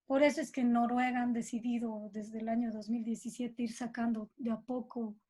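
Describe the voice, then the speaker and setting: A woman with a Bolivian Spanish accent and a fairly high voice talks as an interview guest. Her delivery is casual and conversational, working ideas out aloud and punctuating them with small tag questions. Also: little pitch movement, moderate pace, mellow.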